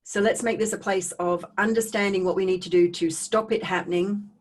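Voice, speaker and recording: A middle-aged woman with an Australian accent giving a personal talk to online viewers in a conversational way, with animated asides and checking in with her listeners. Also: medium pitch, a little fast. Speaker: speaker hosting a live online talk on trauma recovery.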